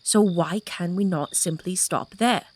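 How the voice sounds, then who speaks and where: A woman's voice: on the low side, measured pace, darkish tone. Agitated, commanding fantasy noblewoman. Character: agitated noblewoman plotting to reach another realm. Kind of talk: audio drama acting.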